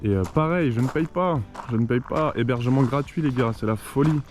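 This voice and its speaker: A man's voice: medium pitch, brisk, darkish tone, soft background music. A man from Lyon with a French accent from France, chatting casually and enthusiastically to camera in slangy, informal vlog style. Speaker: vlogger.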